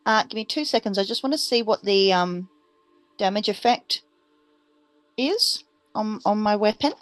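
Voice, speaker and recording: A woman's voice: medium pitch, lively intonation, normal speed, no room sound, faint music behind. A woman playing a tabletop roleplaying game, chatting casually and thinking aloud hesitantly.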